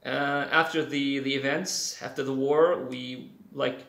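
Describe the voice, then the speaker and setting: A man, a guest in a casual interview, talking haltingly with many fillers and restarts. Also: medium pitch, steady tone, gravelly, a bit bright, reverberant, poor audio. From Syria. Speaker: guest, CG artist.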